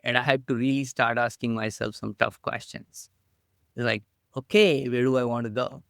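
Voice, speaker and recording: Animated Indian-accented man, smooth voice. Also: medium pitch, normal speed, dry recording, studio quality. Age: middle-aged. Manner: animated, playful and self-mocking. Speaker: podcast guest. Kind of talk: casual interview talk.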